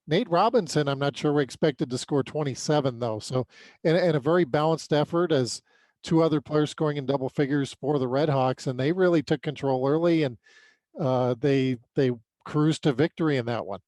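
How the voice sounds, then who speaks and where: A man co-hosting a sports podcast, giving conversational, unscripted game recaps and analysis with some halting, repeated words. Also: a little high, a little mellow, dry recording.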